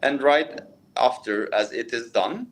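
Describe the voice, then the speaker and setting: Turkish-accented man, halting answers. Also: medium pitch. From Turkey. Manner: halting, many fillers and restarts. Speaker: plastic surgeon. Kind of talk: interview answers.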